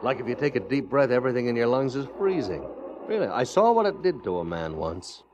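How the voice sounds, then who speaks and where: An American actor playing a chatty, genial traveler out West who spins yarns in an old radio western. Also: male voice, mid-range voice, sing-song, a little fast, lightly acted. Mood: reminiscing. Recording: dull, noisy old radio recording.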